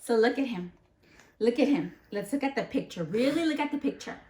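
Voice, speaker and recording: A woman teacher giving an interactive read-aloud lesson for young children, expressive, often prompting with questions and repeating key phrases. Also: a little high, a little fast. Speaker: elementary school teacher. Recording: echoey room.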